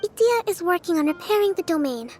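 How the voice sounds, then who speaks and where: Very high, polite young advisor. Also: female voice, sing-song, fast talker, darkish tone. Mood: polite, inquiring. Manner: courteous, careful phrasing. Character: polite, thoughtful young female advisor, gentle and formal.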